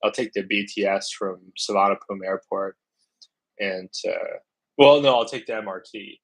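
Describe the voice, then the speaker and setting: A man's voice: on the low side, normal speed, low-quality recording. A man from the United States chatting casually on a travel livestream, thinking aloud with lots of fillers and restarts. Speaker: travel vlogger.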